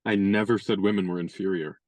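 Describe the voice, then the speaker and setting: A gallant young gentleman, played by a man, courteous and gently wry, with a mid-range voice. He speaks in measured, polite turns as in a radio play. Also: deep voice, steady tone.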